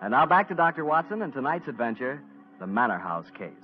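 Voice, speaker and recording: A man's voice: medium pitch, sing-song, fast, faint music behind, close to an everyday voice. A man playing a genial announcer and host, speaking in a 1940s radio style. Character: genial announcer prompting the storyteller. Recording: muffled, lo-fi old radio recording.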